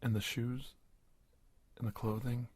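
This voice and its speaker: A man playing a courteous, startled investigator doctor in an ASMR roleplay, speaking in a hushed, breathy, stammering way. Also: medium pitch, flat delivery. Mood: astonished, bewildered. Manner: halting, stammering, formal and courteous. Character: startled, polite investigator doctor facing an alien. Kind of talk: ASMR roleplay monologue, soft-spoken and whispery.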